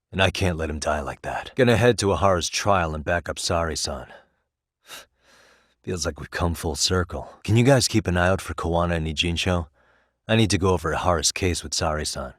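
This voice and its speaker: A man's voice: low voice, no room sound. A man with an American accent voicing an easygoing, principled detective in a video game, talking in a dry, wry way as he reasons things through. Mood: serious, determined. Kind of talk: video game voice acting, conversational dialogue.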